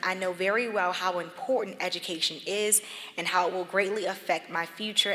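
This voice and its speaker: A woman's voice: lowish voice, a bit bright. A woman reading out scholarship award citations at a ceremony in a large church. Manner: apologetic over name mistakes. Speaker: awards presenter. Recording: reverberant church hall.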